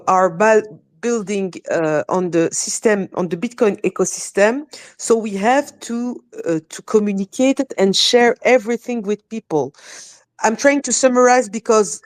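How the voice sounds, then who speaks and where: Woman, French accent, native French speaker, earnest halting phrasing, mid-pitched, dry sound, casual talk. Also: dry recording. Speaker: community ambassador, speaker in online space.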